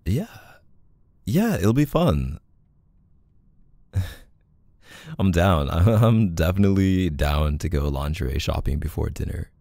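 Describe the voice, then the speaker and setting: Male, very low and creaky, for an affectionate, bashful boyfriend in an intimate audio roleplay; hesitant, casual delivery. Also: lively intonation, slow, pauses often, dark tone, no room sound, clean studio sound. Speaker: ASMR boyfriend roleplay performer. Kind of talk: intimate casual one-sided roleplay acting.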